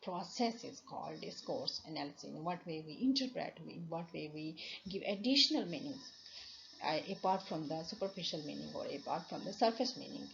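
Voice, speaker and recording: A woman lecturer with a Pakistani English accent gives a slide lecture, pausing often and restarting her sentences. Her voice sits fairly low and has a gravelly edge. The recording sounds dull and lo-fi, with a faint hiss behind her. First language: Urdu. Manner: explains slowly with many fillers and restarts. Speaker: university lecturer. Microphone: voice-over on slides.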